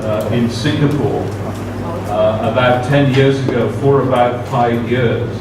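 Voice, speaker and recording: Brief: man, slow measured conference speaker, heard from a distance in a very echoey room. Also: mid-range voice, steady tone. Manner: measured, careful delivery with frequent "uh" fillers. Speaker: guest speaker at a university seminar. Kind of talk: formal conference talk. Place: university seminar room. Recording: very echoey room, distant mic, background noise.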